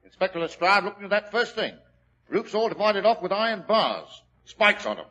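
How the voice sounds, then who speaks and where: An actor playing a folksy police constable in a 1940s radio drama. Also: male voice, sits high, sing-song, fairly quick, sharp tone, full character voice. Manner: folksy, dropped letters. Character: chatty London police constable. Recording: dull old radio recording.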